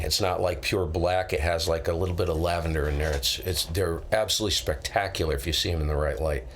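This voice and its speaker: A middle-aged man with an American accent and a deep voice talks casually over light background noise. He hosts a live stream, rambling through stories and slipping into quoted dialogue as he goes. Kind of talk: casual chat, rambling anecdotes.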